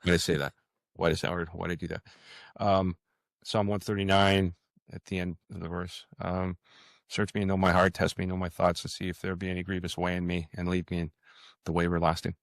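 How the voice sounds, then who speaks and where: An older man with a low voice answers as a guest author in an interview. He speaks earnestly but haltingly, doubling back and repeating words. The sound is dry, with little room on it. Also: level tone, vocal fry, no echo.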